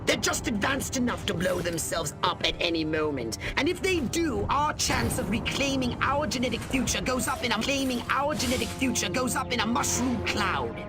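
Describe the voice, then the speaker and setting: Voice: medium pitch, lively intonation, moderate pace, hardly any pauses, lightly acted. An actor voicing a haughty, scheming alien commander in a video game, lofty and theatrical. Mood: disdainful concern. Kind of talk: video game cutscene acting. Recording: very loud music behind.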